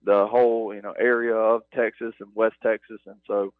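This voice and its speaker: A man being interviewed in a stadium press box about running game day, his voice a touch low. He talks earnestly, circling back with lots of "you know"s. The sound is dry and thin, squeezed down like a phone line. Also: flat delivery, normal speed, no echo. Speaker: stadium game operations director, guest. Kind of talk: halftime radio interview. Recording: narrow phone-like radio broadcast, dry.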